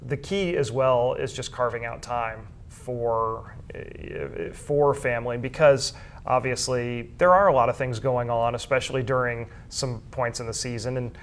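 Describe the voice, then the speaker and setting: A man answering interview questions as a school sports information director, conversational, measured and explanatory. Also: medium pitch.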